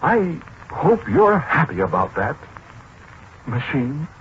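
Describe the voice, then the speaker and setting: Flustered, wildly expressive American scientist. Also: male voice, fairly high pitch, slow, a little hiss or hum. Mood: aggrieved, despairing. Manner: hesitant, fussy, excitable. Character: flustered, exasperated middle-aged scientist, fussy and aggrieved. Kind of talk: 1940s radio drama acting. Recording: muffled 1940s radio broadcast with music.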